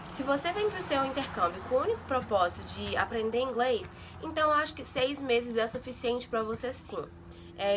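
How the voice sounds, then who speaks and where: Young woman from Brazil, vlogger, casual chatty talk, fairly high voice, faint background hiss. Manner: chatty, fills with "sabe?" and "gente". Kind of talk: casual chat to camera.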